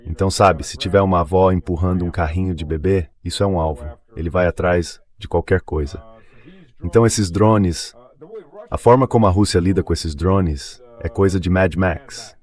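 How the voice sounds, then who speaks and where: A man's voice: low voice, moderate pace, a little mellow. A man voicing a dubbed translation of an interview guest, explaining things in a conversational, anecdotal way.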